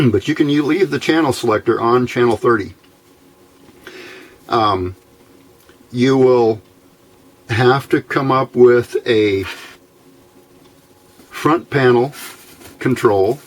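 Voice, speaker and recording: A man giving an unscripted technical explanation as a hobbyist, halting and deliberate, often clearing his throat. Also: medium pitch. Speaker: amateur radio hobbyist presenter.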